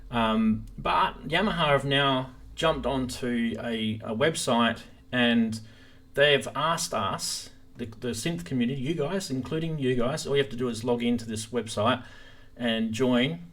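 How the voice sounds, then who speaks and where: A man's voice: medium pitch, unhurried, fairly bright tone. A man hosting a casual live stream about gear, talking informally and enthusiastically straight to his viewers.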